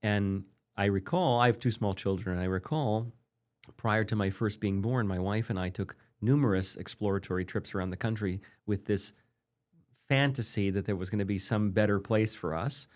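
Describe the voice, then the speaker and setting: A man's voice: on the low side, normal speed, a little mellow. A man around forty, a native Polish speaker, interviewing casually as an interested, affirming listener.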